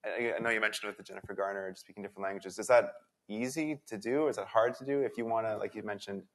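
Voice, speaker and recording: A man moderating a conference panel, casual and quick with his questions. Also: lowish voice, fairly even intonation. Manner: casual, asks questions in a rush of lists.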